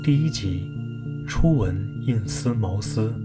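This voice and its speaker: A man's voice: lowish voice, expressive, slow pace, loud music behind the voice. A man announcing a radio drama in a scripted introduction.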